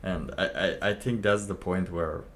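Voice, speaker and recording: A man with a very low voice, talking slowly as he reacts to a clip on stream. He muses aloud and chuckles to himself. A faint hiss sits under the recording. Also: fairly even intonation, a bit bright. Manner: slow, musing, laughs to himself. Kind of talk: casual commentary.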